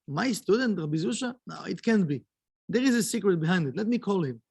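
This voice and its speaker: A man's voice: high voice, animated, brisk, creaky, darkish tone, breathy edge, exaggerated voice. Wise old rabbi teacher, put on by a man. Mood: incredulous.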